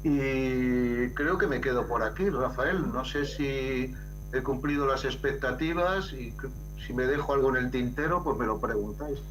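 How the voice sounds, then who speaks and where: A man's voice: mid-range voice, normal speed, fairly bright tone, soft background music, low-quality recording. Man speaking over a video call, hesitant. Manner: hesitant, with restarts. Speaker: invited speaker on theatre. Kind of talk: talk over video link.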